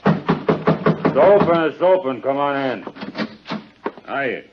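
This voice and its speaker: An actor playing a big, beefy, offhand petty crook in a 1950s radio drama. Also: male voice, medium pitch, animated, slow pace. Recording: dull, noisy 1955 radio recording.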